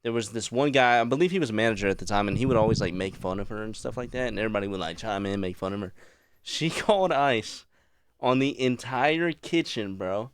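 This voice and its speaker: A man's voice: lowish voice, a little fast, clear, resonant tone. A man in his twenties chatting on a podcast, telling stories in slang. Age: 20s. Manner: storytelling, slangy, says bro a lot. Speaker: podcast guest. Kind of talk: casual chat.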